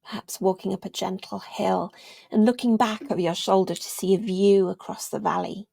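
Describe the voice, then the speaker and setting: A woman speaks as a meditation guide, slow and soothing with long pauses. Her voice is husky and very creaky, often fading toward a whisper. The sound is close and dry. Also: medium pitch, steady tone, no echo. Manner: gentle, soothing, unhurried with long pauses, trailing into near-whisper. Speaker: meditation guide, yoga teacher.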